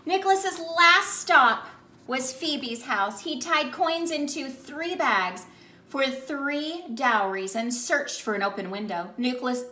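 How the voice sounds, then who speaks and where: A woman in her thirties reading a storybook aloud to children in an animated, expressive way with emphatic phrasing. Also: high-pitched, slow pace. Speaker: children's ministry read-aloud host. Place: small indoor room by a Christmas tree. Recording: roomy indoor echo.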